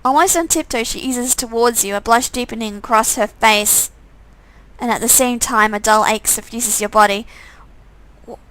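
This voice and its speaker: Woman game streamer, high bright voice, expressive storytelling with casual asides. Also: moderate pace, dry recording, rough recording. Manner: expressive, breaks off to comment casually. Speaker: streamer reading a visual novel game aloud. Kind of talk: dramatic narration with off-the-cuff asides.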